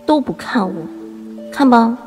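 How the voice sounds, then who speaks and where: High-pitched cheeky young noblewoman. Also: animated, measured pace, darkish tone, soft background music. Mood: sulky. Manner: teasing, mock-gushing. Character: cheeky young noblewoman student in ancient China. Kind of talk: audio drama acting.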